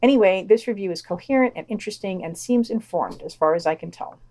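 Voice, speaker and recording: A woman's voice: medium pitch, expressive, fast, darkish tone. A woman hosting a podcast, reading scripted reviews and quotations in a matter-of-fact, opinionated way. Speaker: podcast host reading a news roundup. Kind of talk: scripted review commentary, read aloud with quotations.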